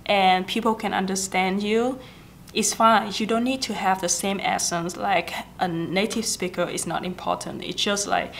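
Young woman, Vietnamese accent, chatty advice, fillers and restarts, faint rumble in the room. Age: 20s. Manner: chatty, gestures often, frequent fillers and self-corrections. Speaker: vlogger giving language-learning tips. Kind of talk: casual talking-head advice. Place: small indoor office with a plant.

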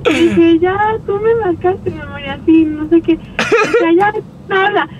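A woman's voice: very high-pitched, measured pace, crowd noise. A young woman with a Mexican accent chatting casually through a telephone speaker. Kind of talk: casual phone chat. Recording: dull speakerphone in noisy car.

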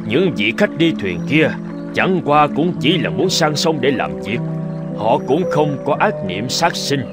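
An actor playing a calm old male hermit sage who chides in a measured, knowing way. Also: a little high, over loud music. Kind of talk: Vietnamese cartoon dubbing.

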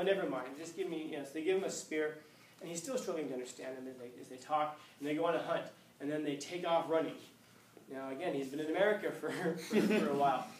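Animated teacher, echoey classroom. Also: male voice, on the high side, distant mic, a little hiss or hum. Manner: animated, acts out scenes with playful voices. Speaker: high school teacher. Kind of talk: classroom lecture. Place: classroom, indoors. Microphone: far camera.

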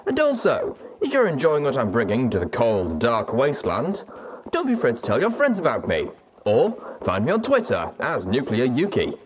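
A man's voice: mid-range voice, wildly swooping pitch, normal speed, a crowd in the background. A man hosting a show, delivering a scripted introduction in a theatrical radio-DJ manner. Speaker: podcast host.

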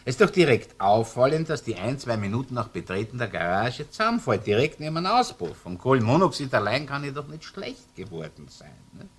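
An actor playing a sceptical, probing police investigator in a crime radio play, speaking colloquial Viennese German with an insistent, questioning manner. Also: male voice, mid-range voice, sing-song, a little fast, few pauses, slightly rough. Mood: doubtful, insistent. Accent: Viennese Austrian. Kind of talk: German-language crime radio drama acting. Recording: noisy old radio play recording.

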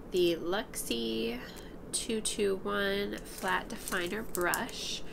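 A woman in her twenties, a vlogger chatting casually to camera about products, slightly congested from allergies, recorded in a small room at home. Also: fairly low pitch. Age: 20s. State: stuffed up from allergies. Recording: clear room noise behind voice.

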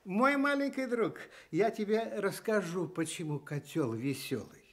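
A man telling a children's fairy tale for Soviet radio in an expressive storytelling style. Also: high-pitched, measured pace.

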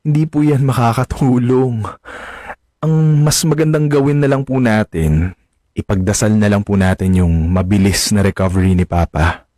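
A man's voice: on the low side, moderate pace, mellow, no room sound, clean studio sound, close to an everyday voice. A man acting a caring, respectful young adult son in a radio drama. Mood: gentle, concerned.

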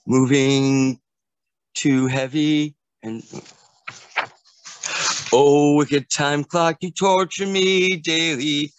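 A middle-aged man recites his own lyrics in a lilting, half-sung way, unhurried and drawn out. His voice is smooth and resonant. He is recorded outdoors in a garden on a video call, and the sound is dry and close. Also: mid-range voice, no echo, highs cut off. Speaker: performer at an open mic. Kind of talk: slow recited song lyrics. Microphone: video call from a handheld device.

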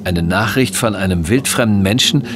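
A man's voice: lowish voice, moderate pace, very noisy, lightly acted. A male actor playing a dutiful, slightly uneasy police inspector, earnest, formal and deferential, in a German audio drama. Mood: concerned.

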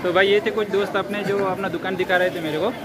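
A young man giving a casual walking vlog in a halting, repetitive way, recorded on a phone at arm's length. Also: sits high, level tone, over a noisy crowd. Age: young adult. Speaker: vlogger. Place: busy outdoor street and crowded covered market. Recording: loud street and market noise.

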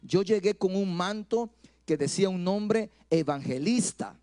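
A man's voice: high-pitched, animated, unhurried, a little mellow. A middle-aged man with an Ecuadorian accent preaching through personal anecdotes, acting out dialogue and repeating phrases into a handheld microphone. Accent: Ecuadorian Spanish. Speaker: pastor preaching. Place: church stage, indoors.

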